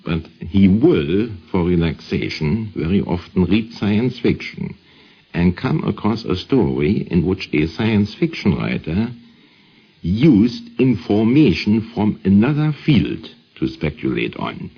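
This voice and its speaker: Slow, husky, very low-voiced male expert. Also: very animated. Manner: deliberate, didactic. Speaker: expert guest commentator. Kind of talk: unhurried explanatory radio commentary. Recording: dull vintage radio recording.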